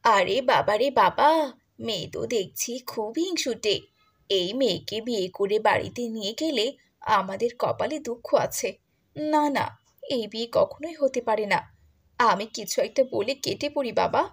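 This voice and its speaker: Woman acting a husky, decisive cartoon matron. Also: a little high, expressive, a little slow, exaggerated voice. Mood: disapproving. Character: decisive, outspoken older mother of a groom. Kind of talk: Bengali cartoon moral-tale acting.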